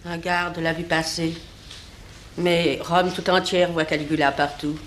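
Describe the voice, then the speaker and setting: A woman's voice: fairly low pitch, little pitch movement, a little slow, natural voice. Woman acting a worldly, sorrowful Roman mistress, faint noise. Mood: grave. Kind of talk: mid-century French radio theatre acting. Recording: old radio recording, faint noise.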